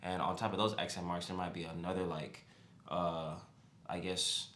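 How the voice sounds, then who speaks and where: A young man with a very low voice talks slowly and easily in a casual podcast conversation. His speech is loose and slangy, and it rises into emphatic bursts when he makes a point. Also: level tone, a bit bright, slight background noise. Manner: laid-back slangy talk, emphatic bursts. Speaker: podcast co-host. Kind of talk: casual chat. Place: home podcast setup.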